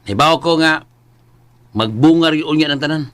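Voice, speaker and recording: A man's voice: on the high side, normal speed, a bit bright, close to an everyday voice. A man playing a loyal, encouraging friend in an amateur radio drama. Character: young man, loyal buddy.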